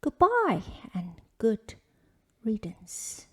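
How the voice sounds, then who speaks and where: A woman's voice: on the high side, wildly swooping pitch, drawn-out words, a bit bright, breathy edge, heavily acted. A woman voicing a cruel stepmother from a folktale, commanding and scornful. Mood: gloating.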